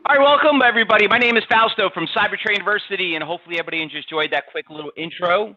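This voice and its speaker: A man's voice: high-pitched, racing, faint music behind. A man hosting a live webinar from home over a video call, casual and upbeat. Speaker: webinar host. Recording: dull video call audio.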